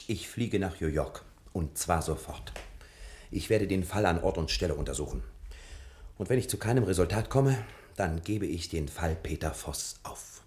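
A man playing a brisk, theatrical detective in an old-fashioned radio drama, emphatic in delivery. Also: low voice, expressive, a little mellow. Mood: brisk, determined. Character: brisk, dramatic male detective on a case, finally defeated. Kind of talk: 1950s-style German radio drama acting.

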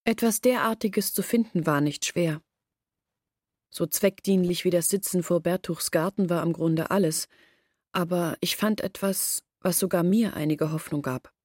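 An actress playing an earnest village woman who explains and confesses in a measured literary radio drama monologue. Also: lowish voice, a little mellow, no echo, studio quality. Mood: earnest explaining.

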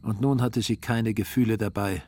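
A man's voice: fairly low pitch, fairly even intonation, moderate pace, a little mellow, slight background noise. A man narrating a literary radio drama in scripted prose. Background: music.

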